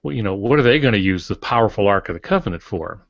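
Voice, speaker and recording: An older man explaining things in a halting, digressive way as a co-host in a panel discussion. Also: fairly low pitch, lively intonation, vocal fry. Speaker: podcast co-host, writing instructor. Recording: muffled, roomy lo-fi podcast audio.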